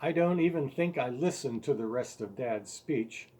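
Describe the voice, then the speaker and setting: Slightly husky man reading a story aloud. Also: mid-range voice. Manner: expressive, deliberate storytelling with emphasized words. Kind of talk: scripted story narration.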